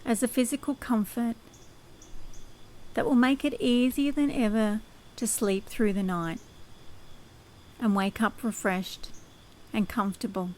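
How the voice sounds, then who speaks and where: A woman leading guided sleep hypnosis in a soothing, unhurried, measured manner. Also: fairly high pitch, fairly even intonation, darkish tone.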